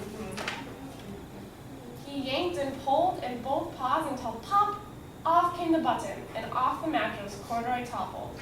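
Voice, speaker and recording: A fairly high-voiced woman reads a children's book aloud as a storyteller. She sounds away from the mic, with heavy echo in the room. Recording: echoey, noisy room, distant mic.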